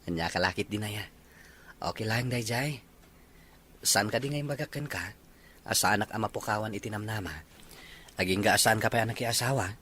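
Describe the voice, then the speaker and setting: A man's voice: lowish voice, normal speed, pauses often, bright, forceful tone, slight background noise. A man playing an earnest, polite young suitor in an audio drama. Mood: courting. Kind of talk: Ilocano audio drama acting.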